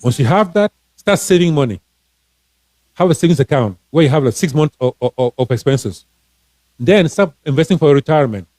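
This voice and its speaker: A fairly high-voiced man from Cameroon coaching listeners on money in an earnest, encouraging way, often stumbling and repeating words. His voice sounds close and dry, with no room around it. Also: expressive, moderate pace, warm tone, no room sound. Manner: repetitive, restarting, earnest and encouraging. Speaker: financial coach, author. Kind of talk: casual live-stream advice talk.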